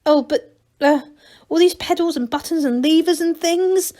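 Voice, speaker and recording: A woman's voice: very high voice, moderate pace, mellow, pitched above the performer's own voice. A woman voicing a worried, kindly male gnome leader in a storybook, hesitant and polite. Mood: anxious.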